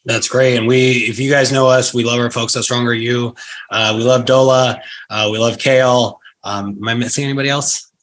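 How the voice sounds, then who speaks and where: A male podcast host with a voice of middling pitch. He keeps the chat playful and loose, filling his questions with ums and jokes. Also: steady tone, moderate pace, fairly bright tone, slight background noise. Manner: playful, joking interviewer with many fillers. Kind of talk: casual chat.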